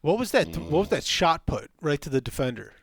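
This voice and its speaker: A man's voice: a little high, expressive, fairly quick, creaky, slightly rough, no room sound, faint music behind. A man giving his opinions as a panelist in casual sports chat.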